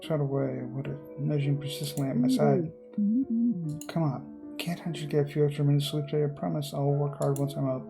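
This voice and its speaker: Gravelly man hosting a game playthrough. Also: fairly high pitch, level tone, fairly quick. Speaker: gaming video host. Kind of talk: reading visual novel narration aloud with casual commentary. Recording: game music behind the voice.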